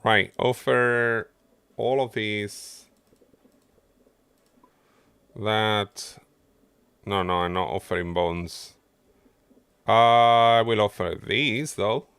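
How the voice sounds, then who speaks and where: A man's voice: fairly low pitch, slow pace, fairly bright tone, clean tone, no room sound. A man doing casual livestream game commentary, muttering his running thoughts to himself as he plays. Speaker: game streamer.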